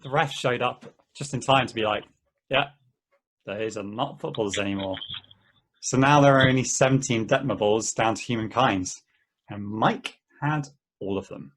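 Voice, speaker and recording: Man playing a husky-voiced exasperated storyteller. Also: medium pitch, moderate pace, a bit bright, close to an everyday voice, low-quality recording. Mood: matter-of-fact. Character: exasperated, excitable man recounting his football game mishaps. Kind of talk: amateur play table read, conversational storytelling.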